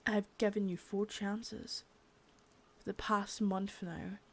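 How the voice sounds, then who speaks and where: A woman voicing a sadistic, menacing female boss in an ASMR roleplay, whispering softly with spoken threats between. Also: medium pitch, flat delivery, slow. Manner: taunting and threatening.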